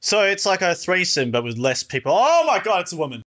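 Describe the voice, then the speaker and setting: A lively, higher-pitched Australian cartoon bloke, voiced by a man. He is crude, dim and excitable, rambling along in slang with big swings of pitch. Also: brisk. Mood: excited.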